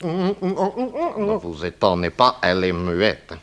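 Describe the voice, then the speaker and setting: Lively, chatty Belgian gentleman. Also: on the low side, slow pace, exaggerated voice. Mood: jovial, matter-of-fact. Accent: Belgian French. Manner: folksy, punctuates phrases with tag words. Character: genial, chatty Belgian armless former ink maker. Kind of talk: 1960s French radio play acting.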